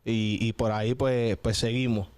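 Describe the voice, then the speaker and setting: A man's voice: on the low side, flat delivery, moderate pace, a little mellow, clean tone. A man hosting an interview in a casual, conversational style. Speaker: host interviewer.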